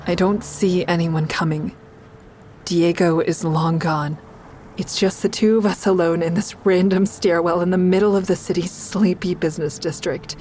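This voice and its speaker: An actress playing a wary, anxious woman who narrates an audio drama in the first person, measured and confiding. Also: on the low side, darkish tone, a little hiss or hum, natural voice.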